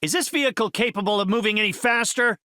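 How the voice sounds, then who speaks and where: An actor voicing a brainy, earnest middle-aged superhero scientist for a video game, articulate and formal, with scientific vocabulary. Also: male voice, high voice, fast, sharp tone, no room sound, studio quality. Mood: urgent, commanding.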